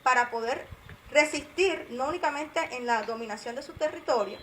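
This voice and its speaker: A woman speaking as an academic presenter at a forum, explaining in a measured lecture style. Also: high-pitched, a little hiss or hum, rough recording. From Colombia.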